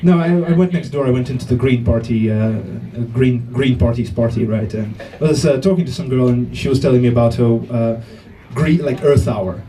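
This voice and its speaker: A man from Estonia doing stand-up on a club stage, picked up a little far from the mic. His delivery halts and restarts, padded with "uh" and "like". His pitch sits in a middle range. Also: mellow. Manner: halting delivery with many fillers and restarts. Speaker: stand-up comedian. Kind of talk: stand-up comedy set. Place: comedy club stage with live audience.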